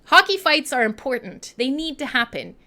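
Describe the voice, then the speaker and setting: A woman's voice: high-pitched, very animated, normal speed, bright, forceful tone. A Canadian woman hosting an irreverent radio show, chatting casually in a sweary, jokey, rambling way. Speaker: online radio show host and DJ. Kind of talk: casual irreverent live radio chat between songs.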